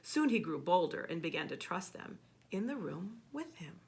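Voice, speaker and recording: A woman reading a children's story aloud expressively and emphatically, pausing to ask a child questions. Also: on the low side, gravelly. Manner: expressive, emphatic, with repeated words for effect.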